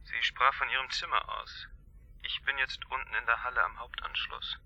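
Menacing angry patient on a narrow phone line, hard tense raspy voice, radio play. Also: male voice, mid-range voice, flat delivery, moderate pace, bright, forceful tone. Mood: cold, menacing. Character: angry, menacing male patient, fed up with professor. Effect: telephone filter. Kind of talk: German radio play acting. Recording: muffled, thin telephone line.

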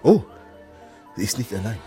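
A man's voice: fairly low pitch, big pitch swings, normal speed, dark tone, faint music behind. A man acting in a German radio drama as an anxious young Ghanaian man living in hiding, breathless and muttering to himself. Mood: idle, murmuring.

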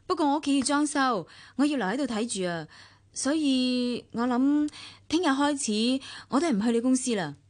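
Radio drama: a proud, self-doubting mother, Hong Kong accent, higher-pitched, introspective storytelling in a long reverb. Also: expressive, fairly quick. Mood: evasive. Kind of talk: radio drama narration and dialogue.

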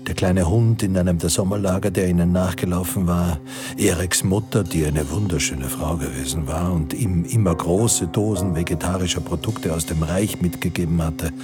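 An actor as a grave storyteller narrating a wartime radio drama in a measured, literary manner. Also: male voice, low-pitched, close to an everyday voice. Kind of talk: scripted third-person radio drama narration. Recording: studio radio play with music underneath.

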